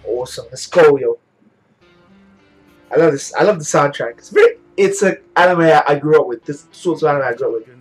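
A young man with a hoarse, flu-worn voice, casually reacting to anime episodes with lots of swearing. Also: a little high, expressive, fairly quick, faint music behind. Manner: casual, swears a lot, coughs now and then. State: hoarse, getting over a flu. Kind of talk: live reaction commentary. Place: small indoor room. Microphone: webcam, headphones on.

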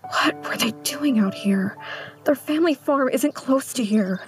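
A woman playing a reserved, uneasy small-town schoolteacher in an audio drama, speaking in a hesitant, hushed way. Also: sits high, unhurried. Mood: uneasy, guarded. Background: music.

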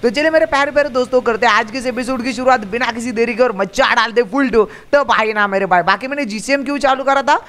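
A man's voice: extremely high pitch, fast talker, hardly any pauses, sharp tone. A young man hosting a game channel, giving chatty let's-play commentary that he fills with "guys" and "bhai" at every turn. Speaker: gaming channel host.

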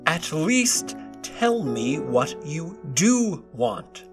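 Storybook audio drama king, male actor: elderly, doting, anxious, unhurried and deliberate. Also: fairly high pitch, sing-song, over music, heavily acted. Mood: flustered.

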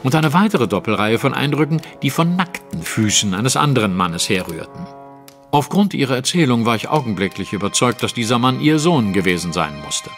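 An actor playing a confident, analytical detective in a German audio drama, setting out a case in articulate, precise, dramatic storytelling. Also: male voice, mid-range voice, very animated, a little fast, faint music behind. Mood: analytical, assured. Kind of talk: German audio drama acting, narrative monologue.

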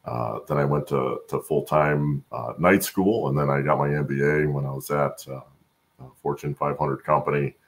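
A middle-aged American man with a gravelly voice, chatting as an interview guest and often checking in with "right?". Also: a bass voice, fairly even intonation, moderate pace. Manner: tags phrases with "right?". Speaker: guest, supply chain professional. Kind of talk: casual conversational interview. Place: home room, on video call. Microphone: video call.

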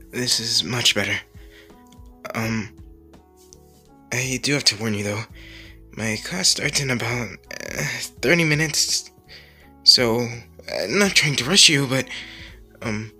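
Anime ASMR roleplay: shy, kind teenage hero boy, played by a man, unhurried, stammering and apologetic, music underneath. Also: medium pitch, sharp tone, heavily acted. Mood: hesitant, concerned. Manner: hesitant, halting, apologetic, with stammers and pauses.

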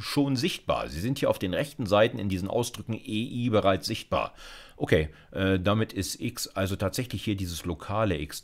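A man in his fifties giving an informal lecture with a live demo, talking colloquially and directly to his students with frequent check-in tags. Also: low-pitched. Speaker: university lecturer.

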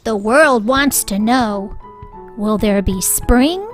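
A woman reading a children's story aloud in an expressive, rhyming sing-song way, with deliberate delivery. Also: a little high. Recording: music bed under the voice.

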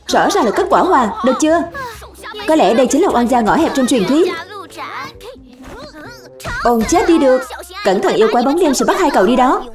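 A woman voicing excitable young spinning-top players in a dubbed cartoon, with a dramatic, exclamatory delivery. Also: high-pitched, fairly quick, pauses often, over music. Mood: excited, bickering. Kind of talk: animated cartoon dub, several characters under one speaker number.

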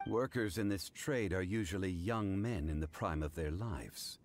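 An actor playing a composed, learned man who speaks in a measured, formal and analytical way. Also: sits low, slight background noise. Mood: calm. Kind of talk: video game voice acting.

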